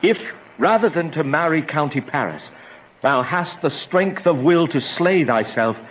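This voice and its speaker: An actor playing a grave, troubled, compassionate friar in a Shakespearean radio drama, giving solemn counsel. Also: male voice, fairly high pitch, animated, measured pace, a little hiss or hum, rough recording. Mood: grave, urgent.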